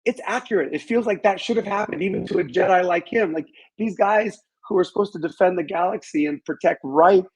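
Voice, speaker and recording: A man speaking as an interview guest in a measured, halting way, thinking aloud and qualifying his opinions. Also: sits high, darkish tone, husky, rough recording. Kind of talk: reflective interview conversation about films.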